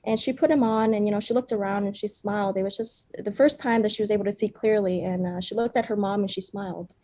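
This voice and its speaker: A young woman from Vietnam, a startup founder, gives an earnest pitch with frequent fillers. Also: quick pace, mellow. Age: young adult.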